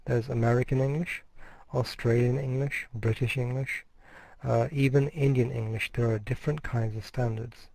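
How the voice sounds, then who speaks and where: Mellow-voiced man giving a measured lecture. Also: medium pitch, steady tone, creaky, dry recording, low-quality recording. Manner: measured, explanatory, restarts words mid-phrase. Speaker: university lecturer. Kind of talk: recorded course lecture.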